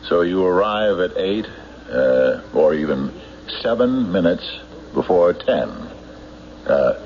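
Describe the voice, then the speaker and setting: Deep-voiced, relentless small-town sheriff. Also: male voice, expressive, muffled recording. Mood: probing, suspicious. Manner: deliberate, probing, accusatory, with pointed pauses. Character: shrewd, relentless small-town sheriff interrogating murder suspects. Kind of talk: old-time radio drama acting. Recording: noisy band-limited old radio broadcast recording.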